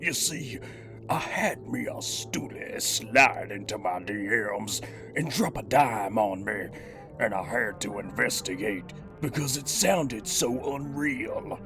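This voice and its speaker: A man's voice: lowish voice, lively intonation, slow, music in the background. A man voicing a cocky cybernetic gangster villain who hosts a toy review, talking in theatrical, slangy old-time mobster style. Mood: conspiratorial. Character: cybernetic master criminal gangster, cocky, streetwise action-figure villain. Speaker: host of a toy review show. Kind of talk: in-character scripted review monologue.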